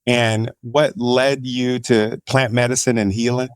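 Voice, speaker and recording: Man hosting, smooth clear voice. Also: medium pitch, little pitch movement, dry recording. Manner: hesitant, halting questions. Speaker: podcast host. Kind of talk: casual interview.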